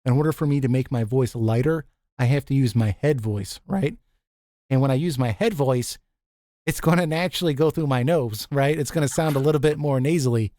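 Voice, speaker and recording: Deep-voiced man, dry close sound. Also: a little fast, dark tone, no room sound. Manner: easygoing, laughs often, restarts sentences. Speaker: podcast host. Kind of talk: casual group chat.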